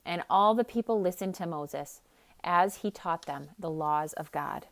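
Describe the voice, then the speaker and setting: A woman storyteller reading a picture book aloud for children in an expressive, dramatic style. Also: lowish voice, measured pace. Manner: expressive, dramatic, with hushed emphasis. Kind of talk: theatrical read-aloud narration with casual asides.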